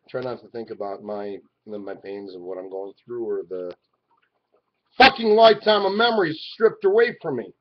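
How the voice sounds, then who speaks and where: A man in his forties talking to a webcam in his living room, rambling and swinging from loud outbursts to low mutters. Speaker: vlogger. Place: living room at home, indoors. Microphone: webcam at arm's length. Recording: dull lo-fi webcam audio.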